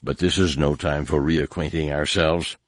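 A man voicing a cold, cruel one-eyed male warrior who speaks in a low, hissing, threatening way. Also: fairly even intonation, normal speed, no room sound, clean studio sound. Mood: menacing.

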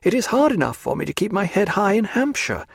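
A man voicing a high-strung, imploring aristocratic young woman. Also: big pitch swings, fast talker, vocal fry, breathy edge, no room sound, clean studio sound, heavily acted. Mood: pleading. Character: proud desperate pregnant young woman, aristocratic.

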